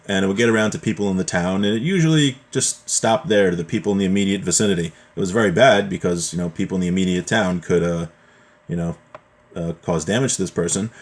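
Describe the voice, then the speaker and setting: A man giving an informal religious lesson as a teacher, explaining in a conversational way. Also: on the low side. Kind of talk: informal religious lesson, reading Hebrew text then translating and explaining.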